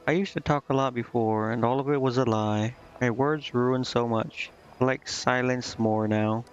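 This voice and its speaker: Man reading quiet, regretful demon woman, lo-fi sound. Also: medium pitch, steady tone, moderate pace, soft background music, close to an everyday voice.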